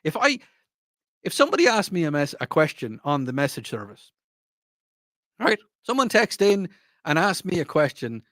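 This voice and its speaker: A man's voice: on the high side, sing-song, moderate pace, long pauses, a bit bright, no room sound, clean studio sound. An Irish man hosting a talk radio show, rambling and repeating himself with a mocking tone and frequent laughs. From Ireland. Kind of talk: casual talk radio monologue.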